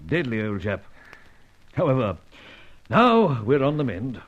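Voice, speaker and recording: An actor playing Sir Giles, a fussy, blustering upper-class chief, in an old radio drama. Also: male voice, medium pitch, very animated, measured pace. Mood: soothing. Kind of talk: 1950s radio drama acting. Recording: dull lo-fi old radio recording.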